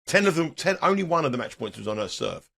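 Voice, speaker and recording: Croaky man with a sore throat. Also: on the high side, very animated, fast talker, fairly bright tone. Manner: rambling, repeats words and restarts. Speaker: tennis betting analyst. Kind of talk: casual podcast chat.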